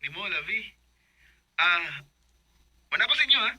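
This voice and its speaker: A man's voice: high voice, very animated, normal speed, pushed and bright, no room sound, exaggerated voice. An actor playing a playful, teasing young man on a phone call in a radio drama. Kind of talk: Visayan radio drama acting.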